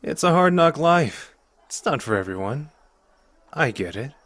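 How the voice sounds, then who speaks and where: A man's voice: a little high, very animated, a little slow, fairly bright tone, no room sound. A man playing a cocky, playful young sorcerer who is protective underneath, talking casually and intimately to the listener in an ASMR roleplay. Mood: earnest, persuading. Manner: casual, teasing, intimate and conversational.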